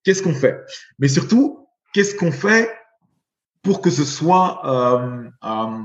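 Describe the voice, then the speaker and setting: Halting Belgian-raised man in a roomy room. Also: a little high, sing-song, highs cut off. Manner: halting, many fillers and repeated words. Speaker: guest, investor. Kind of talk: podcast interview.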